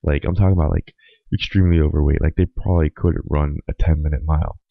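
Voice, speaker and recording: A young man hosting a casual solo podcast, hoarse and raspy from a cold, rambling with lots of ums. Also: a bass voice, steady tone, fast talker, no room sound, phone-quality sound. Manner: rambling, with many ums and uhs. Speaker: podcast host.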